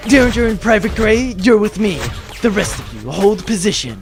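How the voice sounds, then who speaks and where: A man's voice: high-pitched, big pitch swings, normal speed, creaky, heavy reverb, in a large hall, music in the background, exaggerated voice. A man playing a sarcastic, combative space-marine sergeant in a sci-fi audio drama, blunt and commanding. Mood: confrontational.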